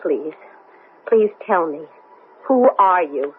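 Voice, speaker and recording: A woman's voice: on the low side, animated, takes it slowly, narrow, tinny audio, slight background noise. An actress playing a distressed, hesitant woman astronaut who doubts her own sanity, in 1970s radio drama style. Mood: confused, torn. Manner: emotional, hesitant, stammering.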